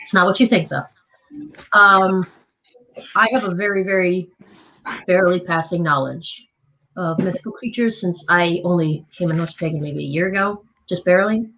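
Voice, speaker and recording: A woman's voice: lowish voice, moderate pace, sharp tone, a little hiss or hum, poor audio. A woman guest on a casual group podcast, joking and playful.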